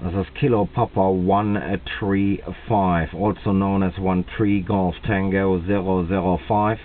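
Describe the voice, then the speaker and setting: A man making a radio contact as an operator, speaking deliberately and repeating phrases for emphasis. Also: fairly low pitch. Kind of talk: radio contact with call signs and signal reports. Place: at a radio set, filming it. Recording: narrow, tinny radio-room video audio.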